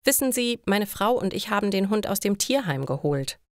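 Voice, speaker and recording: A woman's voice: mid-range voice, sing-song, moderate pace, no room sound, studio quality. A woman voicing a friendly elderly male neighbour in a story for language learners. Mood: explaining, apologetic. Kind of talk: German learner story dialogue.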